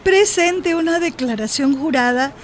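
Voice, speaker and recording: An actress playing a kind, reassuring refugee office clerk who explains things patiently in a radio drama. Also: female voice, sits high, expressive, unhurried, a little hiss or hum.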